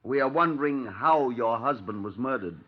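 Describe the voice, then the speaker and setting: Actor as chief inspector: mid-pitched man, formal, politely insistent, measured delivery, dull vintage radio sound. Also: animated, close to an everyday voice. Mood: politely probing. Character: courteous, persistent Scotland Yard chief inspector, narrating his case. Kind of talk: old-time radio crime drama narration and dialogue. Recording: dull 1950s radio recording.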